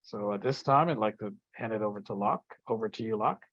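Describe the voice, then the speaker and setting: A man's voice: lowish voice, fairly quick, fairly bright tone, no echo, highs cut off. A man hosting an online lunch talk over a video call, introducing speakers with fillers. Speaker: event host.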